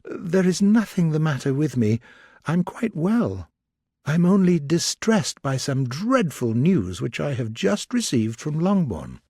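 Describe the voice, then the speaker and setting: A man reading aloud, voicing a distraught young gentlewoman with an urgent, pleading, high and breaking voice. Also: big pitch swings, a little slow, husky, dry recording, clean studio sound, exaggerated voice. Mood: distressed.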